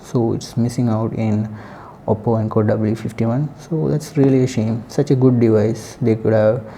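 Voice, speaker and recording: A man giving a casual, unscripted product review in halting, short phrases. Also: medium pitch, fairly even intonation, mellow, slight background noise.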